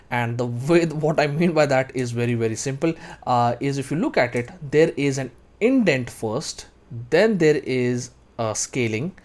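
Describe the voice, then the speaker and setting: A man in his thirties narrating a step-by-step software tutorial, explaining each action as he does it. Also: mid-range voice. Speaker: tutorial instructor.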